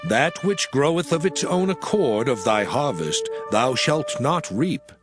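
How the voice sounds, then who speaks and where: A man playing a solemn lawgiver, pronouncing divine statutes in a measured, declamatory dramatized scripture reading. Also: mid-range voice, sing-song. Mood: solemn, authoritative. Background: music.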